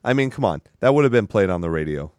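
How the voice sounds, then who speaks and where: A man hosting a casual podcast chat with a hoarse, raspy voice that is strained from sickness; he talks in a chatty, rambling way and laughs often. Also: on the low side, animated, fast talker, a little mellow, dry recording, clean studio sound. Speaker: podcast host.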